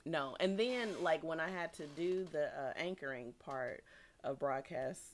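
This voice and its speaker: A young woman with a deep voice, telling a story in casual chat and laughing often. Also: measured pace, a little hiss or hum.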